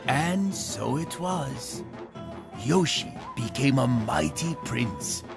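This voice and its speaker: A storyteller giving a scripted folktale narration with dramatic, deliberate phrasing. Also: mid-range voice, lively intonation, gravelly. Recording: music behind the narration. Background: prominent music.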